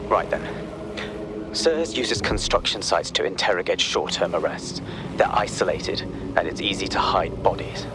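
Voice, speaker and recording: An actor playing a stern rogue intelligence agent in a video game, terse and guarded. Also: male voice, sits low, normal speed, noisy background, natural voice. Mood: businesslike.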